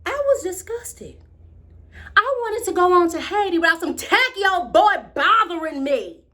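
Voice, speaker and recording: An imaginative, excitable young girl, acted by a woman with an American accent, tells her story as a theatrical monologue. The voice is high and very animated, moving dramatically between confiding and proud exclamation. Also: unhurried. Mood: indignant. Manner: dramatic, wide swings from hushed confiding to exclaiming.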